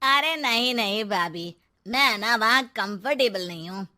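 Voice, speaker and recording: A woman's voice: fairly high pitch, animated, a little slow, sharp tone, pitched below the performer's own voice. A woman voicing a shameless young female cartoon houseguest, gushing and cutely wheedling.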